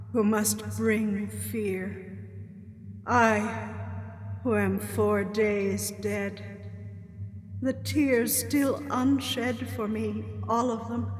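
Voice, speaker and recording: An actress playing a sorrowful dead woman returned as a prophetic spirit, speaking solemn verse in a slow, grave incantation in a radio drama. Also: fairly high pitch. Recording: long cavernous reverb with music.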